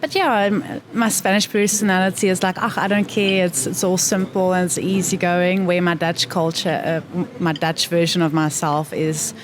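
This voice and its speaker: A woman from South Africa being interviewed, talking thoughtfully and pausing to find her words. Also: on the low side, fairly even intonation. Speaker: interview guest. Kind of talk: reflective conversation. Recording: faint background noise.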